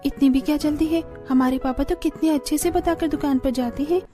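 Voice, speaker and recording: Young village daughter-in-law played by a woman, high mellow voice, earnest, anxious, caring, family cartoon. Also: fairly even intonation, a little fast, soft background music, natural voice. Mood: puzzled. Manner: earnest, concerned.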